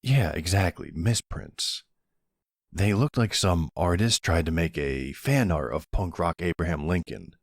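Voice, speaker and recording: Man playing a deep, gravelly flirty mafia boss. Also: measured pace, dry recording, clean studio sound. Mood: amused reminiscing.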